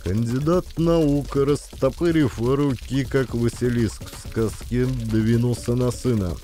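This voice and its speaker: A man's voice: mid-range voice, steady tone, slow, dark tone. A man narrating a comic audio play in a dramatic scripted storytelling style. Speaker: narrator and actor of audio plays.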